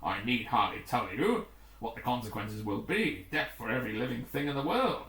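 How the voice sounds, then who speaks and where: A man reading the part of a grim, authoritative rescue commander in a storybook, formal and deliberate. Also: medium pitch, lively intonation, fairly bright tone, recorded from a distance, close to an everyday voice. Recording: roomy, echoing room.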